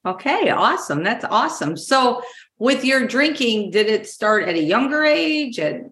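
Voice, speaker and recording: A woman's voice: a little high, very animated, unhurried, fairly bright tone. A woman hosting a casual interview in an informal, encouraging way, recorded with a roomy echo. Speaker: interview host. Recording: roomy echoing sound.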